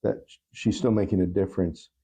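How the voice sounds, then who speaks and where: Very dark, low-voiced man, gravelly. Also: fairly even intonation, a little fast, slight background noise. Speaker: podcast guest. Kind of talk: reflective interview talk.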